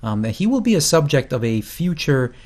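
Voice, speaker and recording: Halting man hosting, full of ums. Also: medium pitch, very animated, a little mellow. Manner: halting, many fillers and restarts. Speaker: host. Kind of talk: casual spoken introduction.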